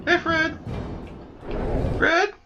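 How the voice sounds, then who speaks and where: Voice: extremely high pitch, steady tone, drawn-out words, muffled recording. A game streamer giving casual, playful live commentary and reacting aloud to the game. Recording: loud music behind the voice.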